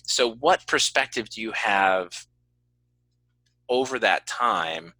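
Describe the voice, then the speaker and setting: A man's voice: fairly low pitch, lively intonation, slow pace, bright, forceful tone, no echo, rough recording. A man interviewing a guest in a casual, conversational way as a podcast host.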